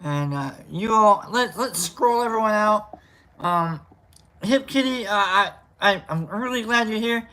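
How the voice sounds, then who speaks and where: A livestream host chatting casually in a rambling, filler-heavy way, giving affectionate shout-outs to viewers. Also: medium pitch, expressive, a little slow, slight background noise, low-quality recording.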